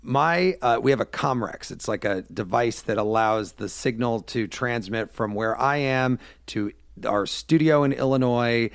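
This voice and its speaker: A man's voice: medium pitch, clear, resonant tone, no echo, studio quality. An American man working as a radio host, asking questions in a conversational, plain-spoken way. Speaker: radio show host and interviewer. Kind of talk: conversational interview.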